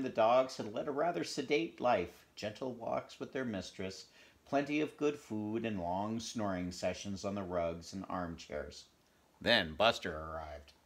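A man in his fifties reading a children's storybook aloud in an expressive, animated way at home. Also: mid-range voice. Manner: animated storytelling with asides to the listener. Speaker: storybook reader. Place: small furnished room at home.

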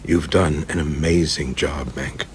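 A man playing a proud, passionate young film director in a radio play, articulate, emphatic and quick to take offence. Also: extremely low pitch, fairly even intonation, a little hiss or hum. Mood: earnest, persuasive.

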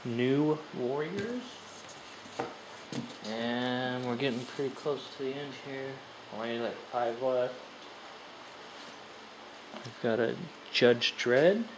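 A collector casually showing off finds, announcing items in short clipped phrases with long pauses. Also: medium pitch. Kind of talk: casual comic haul show-and-tell, listing titles. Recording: background noise, amateur camera microphone.

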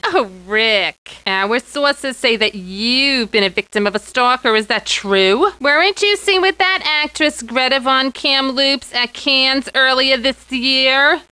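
High, bright female gossip reporter. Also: smooth, poor audio. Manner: gushing, prying presenter delivery. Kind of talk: acted video game dialogue.

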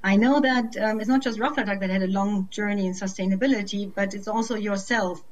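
A woman's voice: mid-range voice, steady tone, brisk, slight background noise. Brief: young woman, webinar host, friendly scripted moderator questions, video call.

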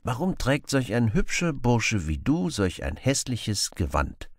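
A man's voice: mid-range voice, big pitch swings, unhurried, dry recording, studio quality, pitched above the performer's own voice. A fearless, cheeky young outlaw from an adventure audiobook played by a man. His raised voice bounces with playful, friendly teasing and mock innocence.

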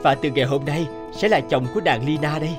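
A man's voice: fairly high pitch, quick pace, close to an everyday voice. A man voicing a patient, courteous suitor and king in a Vietnamese cartoon, speaking in formal phrases. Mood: proud, solemn. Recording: background music under the voice.

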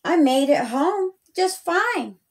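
A woman reading a rhyming children's story aloud in a deliberate, sing-song way, as a storyteller. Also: extremely high pitch, expressive.

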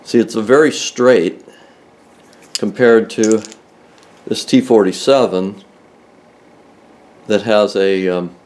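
A man's voice: on the low side, fairly even intonation, slow pace, long pauses, a little mellow. Older guy showing off a socket bit from inside a car, plain methodical talk, restarts a lot. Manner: plain, methodical, restarts mid-sentence while explaining. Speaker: car restoration video host. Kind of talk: hands-on tool demonstration.

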